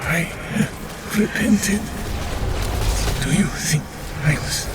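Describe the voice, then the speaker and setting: A lost soul in a fantasy game, pleading and mournful. The voice is a little high and very slow, buried in heavy noise. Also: male voice. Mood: despairing, pleading. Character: lost, repentant male ghost, frightened and pleading. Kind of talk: dramatic video game acting. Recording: very noisy ambience around voice.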